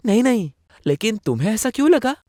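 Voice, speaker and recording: A woman voicing a nervous, defensive young groom in an animated story. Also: on the high side, fairly quick, no echo, studio quality.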